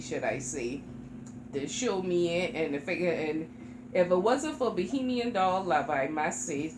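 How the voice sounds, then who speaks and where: A woman, from South Carolina, chatting casually to camera about her reborn dolls at home. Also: mid-range voice. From Charleston, South Carolina. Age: 40s. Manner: chatty, rambling, informal. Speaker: reborn doll vlogger. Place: home room. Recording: echoey room with background noise.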